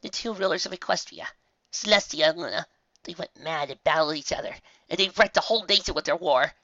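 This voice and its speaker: A man's voice: on the high side, brisk, bright, forceful tone, no echo, muffled recording, voice pushed up. A man doing a whimpering, nervous pony. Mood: anxious. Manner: whimpering, anxious explaining. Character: nervous pony who knows old legends.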